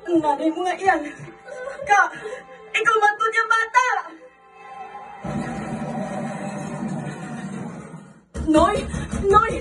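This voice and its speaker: A woman voicing an excitable young boy in a wheelchair in a student radio drama. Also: very high-pitched, far from the mic. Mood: panicked. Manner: childlike, squealing.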